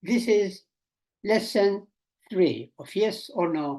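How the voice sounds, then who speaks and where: A woman teaching a beginner language lesson, reading short sentences clearly and deliberately, one by one. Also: medium pitch, expressive, mellow. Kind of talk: slow drill-style language lesson.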